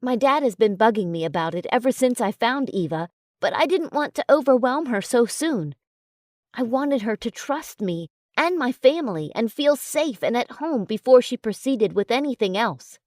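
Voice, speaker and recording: A woman narrating an audiobook in a calm, even storytelling manner. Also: on the high side, moderate pace, no room sound, studio quality. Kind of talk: audiobook narration of a romance novel.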